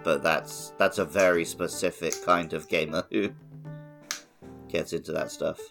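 A man's voice: very deep voice, fairly even intonation, unhurried, vocal fry, a bit bright. A man co-hosting a gaming podcast in casual, conversational chat. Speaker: podcast co-host. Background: background music.

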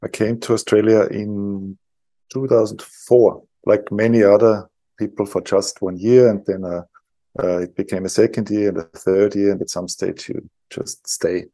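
A man's voice: fairly low pitch, flat delivery, normal speed, dark tone, dry recording. A middle-aged man from Europe with a non-native English accent, introducing himself in a casual, self-deprecating, wry way as a guest surgeon on a podcast.

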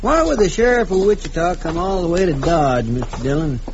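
An actor playing a folksy, deferential deputy sidekick with a rural Western American accent in a radio western. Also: male voice, a little high, expressive, a little fast. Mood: eager, curious. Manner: folksy, drawling dialect. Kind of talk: 1950s radio western acting. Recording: dull, hissy vintage radio recording.

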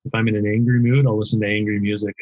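Husky-voiced jokey male podcast host. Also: on the low side, highs cut off. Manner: relaxed, jokey, asks quick questions. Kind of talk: casual interview chat. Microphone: headset with boom mic.

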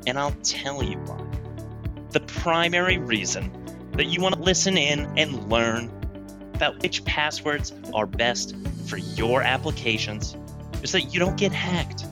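A man's voice: a little high, animated, measured pace, music in the background. A man speaking as a comedic podcast guest, improvising polished, emphatic corporate training patter in the manner of a presenter.

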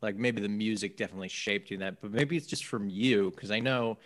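A man from New England co-hosting a podcast, asking rambling, halting questions. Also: lowish voice, fairly even intonation. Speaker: podcast co-host. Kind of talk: casual podcast interview.

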